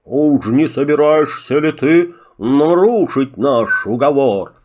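A man doing a slow, heavy elephant. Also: medium pitch, lively intonation, darkish tone, a little hiss or hum. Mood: wary. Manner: slow, weighty and distrustful. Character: slow, suspicious, hulking male elephant.

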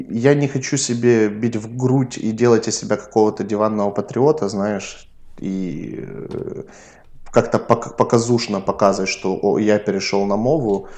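A man's voice: on the low side, a little mellow. A man from Ukraine co-hosting a podcast, explaining things in a reflective, storytelling way during casual chat. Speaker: podcast co-host.

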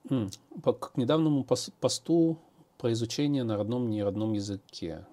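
A man's voice: mid-range voice, normal speed, warm tone. A man, a programmer, answering viewer questions in a casual, hesitant monologue with many fillers and restarts.

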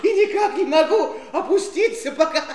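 An actor playing a merry, fussy old uncle in a theatrical sixties audio play, courteous and effusive, given to chuckles and exclamations. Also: male voice, extremely high pitch, normal speed, a little mellow. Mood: tickled, explaining. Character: merry, giggly, fussy bald old uncle who floats. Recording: roomy 1960s studio drama recording with music.

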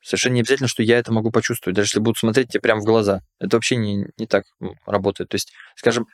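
A man's voice: fairly low pitch, little pitch movement, racing, no room sound, clean studio sound. A man hosting a podcast in casual conversation, explaining ideas in a hesitant, reflective way with many fillers. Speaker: podcast host.

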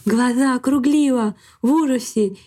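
A woman co-hosting a casual podcast, telling a story with animation and self-irony. Her voice sits fairly high and sounds mellow and warm. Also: moderate pace. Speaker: podcast co-host.

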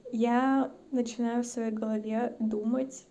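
Podcast guest: woman from the North Caucasus, gravelly, mellow low tone, reflective and chatty. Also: little pitch movement, unhurried, muffled recording, slight background noise. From North Caucasus, Russia. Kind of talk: casual chat.

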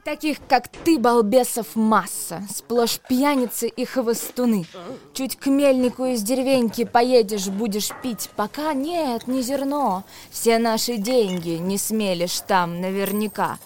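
Actress as a scolding wife, higher-pitched voice. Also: female voice, a little slow, a little mellow, close to an everyday voice. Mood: scornful, scolding. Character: scolding wife berating her drunkard husband. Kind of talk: radio drama verse recitation.

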